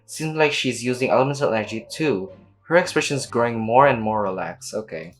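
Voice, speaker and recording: A woman's voice: very deep voice, lively intonation, brisk. A woman streaming a game, reacting in casual slangy commentary and reading dialogue aloud. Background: faint game music.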